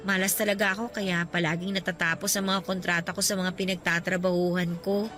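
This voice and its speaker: A woman's voice: lowish voice, flat delivery, brisk, fairly bright tone, soft background music, close to an everyday voice. A woman playing a shy, insecure young co-worker, hesitant and lightly teasing, in radio drama dialogue. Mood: doubtful, teasing. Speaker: drama voice actor.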